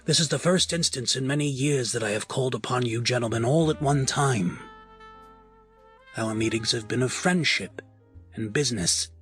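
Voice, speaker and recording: A man playing a proud, dignified crime family patriarch in an audio drama, speaking formally and with measured gravity. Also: mid-range voice, fairly bright tone, soft background music, close to an everyday voice. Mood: grave, accusing.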